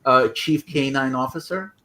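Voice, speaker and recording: A man's voice: medium pitch, moderate pace, over a noisy crowd. A man from Odessa who moved to New York as a teenager, speaking casually on a video call in a stop-and-start way with lots of filler sounds. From Odessa, Ukraine; moved to New York City at about fourteen. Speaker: anthology editor and translator, guest. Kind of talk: casual spoken project pitch on a group call.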